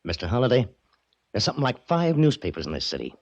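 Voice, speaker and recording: An actor playing an evasive, wary bartender in a 1940s radio drama, talking in guarded slang. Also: male voice, on the low side, wildly swooping pitch, fast, full character voice. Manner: slangy, guarded, folksy bad grammar. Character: evasive, wary bartender who sells tips. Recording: dull old radio recording.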